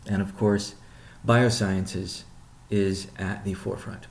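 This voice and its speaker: A man with a fairly low voice that has plenty of gravelly fry speaks in an echoey room. He sounds like an executive running a routine conference call, setting out updates in a measured, explanatory way and often pausing partway through a sentence. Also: level tone, a little hiss or hum. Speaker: company CEO hosting a weekly update call. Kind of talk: informal business conference call briefing. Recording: roomy, echoing sound.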